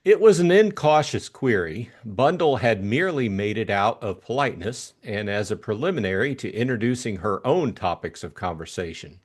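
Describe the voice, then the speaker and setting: A man's voice: on the low side, very animated, moderate pace, hardly any pauses. A man narrating a scripted story expressively as an audiobook host.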